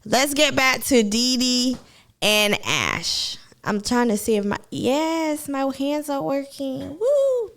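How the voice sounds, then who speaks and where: A woman's voice: high-pitched, sing-song, takes it slowly, fairly bright tone. A woman from Kenya with a Kenyan accent, chatting casually to viewers on a live stream about a TV show. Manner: chatty, addresses viewers as y'all.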